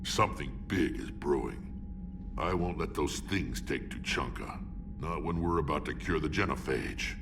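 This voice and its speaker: Deep, gravelly alien warlord. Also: male voice, lively intonation, measured pace, distant mic, noisy background, exaggerated voice. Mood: resolute. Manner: blunt, sardonic, weighty delivery. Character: gruff battle-hardened male alien warlord, wry and proud. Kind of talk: video game character acting.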